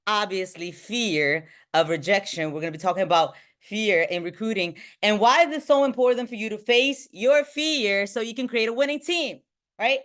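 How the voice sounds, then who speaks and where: A woman in her thirties from Bulgaria leads a motivational online training as a sales team trainer, talking excitably and often checking in with short questions. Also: mid-range voice, normal speed. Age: 30s. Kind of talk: motivational online training talk to a live group.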